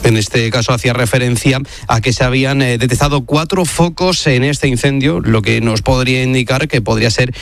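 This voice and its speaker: A man's voice: medium pitch, fairly quick, gravelly, few pauses, a little hiss or hum. A man reporting for radio news, conversational with frequent hesitations. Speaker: field reporter.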